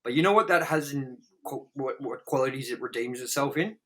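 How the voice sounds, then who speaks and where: Blunt, bright-voiced man reviewing films. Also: medium pitch, brisk. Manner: blunt, emphatic, sweary. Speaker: co-host of a movie review show. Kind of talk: casual chat, opinionated film review.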